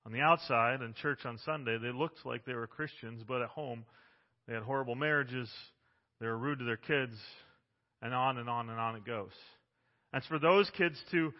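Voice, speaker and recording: A man in his forties preaching a sermon as pastor, loud and emphatic, with frequent restarts, recorded on a microphone in a church. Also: medium pitch, normal speed. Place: church sanctuary. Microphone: handheld or stand microphone. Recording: dull sound with long hall reverb.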